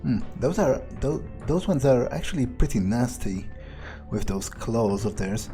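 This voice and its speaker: A man's voice: mid-range voice, animated, a little slow. A man giving in-character video game commentary, thinking aloud in a hesitant, musing way with dry understatement. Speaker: video game player role-playing his character. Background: faint music.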